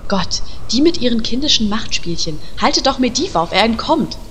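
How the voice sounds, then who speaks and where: An actress playing an exasperated, matter-of-fact adventurer in a parody fantasy audio drama. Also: female voice, fairly high pitch, very animated, normal speed, a bit bright. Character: exasperated, organising female adventurer. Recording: reverberant, faint noise.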